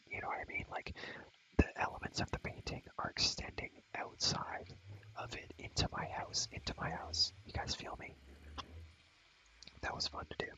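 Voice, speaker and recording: A man whispers breathily, with a sharp, very bright hiss to every word. He rambles casually through a video game tour in slangy ASMR style, often repeating short phrases. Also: rough recording. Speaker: ASMR creator showing his game world.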